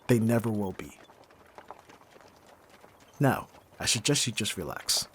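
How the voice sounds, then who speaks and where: A man playing a bitter, hardened young thief in an ASMR roleplay, speaking with mockingly courteous, formal phrasing and pointed pauses. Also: on the low side, animated, a little hiss or hum. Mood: controlled, sardonic. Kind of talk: scripted ASMR roleplay acting.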